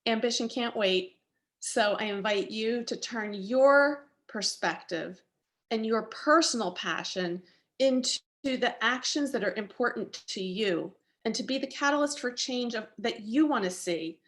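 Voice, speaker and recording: A woman closing a prepared talk in a measured, encouraging way. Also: mid-range voice, fairly bright tone. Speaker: speaker.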